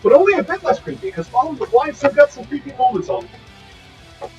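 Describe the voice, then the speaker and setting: A man's voice: very high voice, very animated, fast, far from the mic, highs cut off, faint music behind. A man co-hosting a casual podcast chat, laughing easily and musing aloud in food comparisons. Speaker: podcast co-host.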